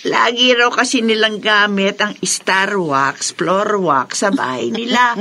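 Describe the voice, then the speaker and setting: A woman's voice: mid-range voice, takes it slowly, sharp tone, no echo. A woman playing a regretful, humble older mother in a Filipino radio drama, speaking earnestly. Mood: friendly. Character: regretful older mother, now humble, fond of her brother.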